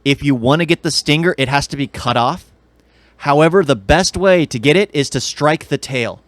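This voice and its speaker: A man's voice: a little high, moderate pace, no room sound. A man talking casually as a podcast co-host, explaining things and giving his opinions. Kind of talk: casual chat about games and movies.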